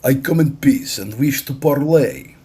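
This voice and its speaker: A man voicing a tall, shrewd, haughty Russian captain in an audiobook, declaiming loudly in drawn-out phrases. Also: medium pitch, animated, voice pushed up, natural voice. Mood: formal, declaring.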